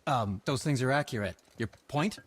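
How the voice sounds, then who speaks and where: A man's voice: medium pitch, normal speed, close to an everyday voice. A man playing a fussy, squeamish, easily wounded dwarf in a video game, prissy and stammering. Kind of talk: video game character acting. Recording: faint background noise.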